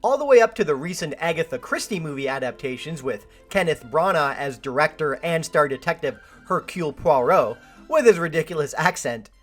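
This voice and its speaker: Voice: mid-range voice, expressive, brisk, hardly any pauses, faint music behind. A video essay host delivering scripted narration in a wry way with jokey asides.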